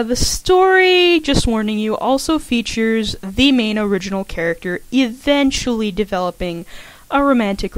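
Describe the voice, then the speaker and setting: Woman with lively pitch, chatty scripted delivery. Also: dry recording, low-quality recording. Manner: chatty, self-aware asides. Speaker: fan fiction author and podfic narrator. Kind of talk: informal scripted foreword to an audio story.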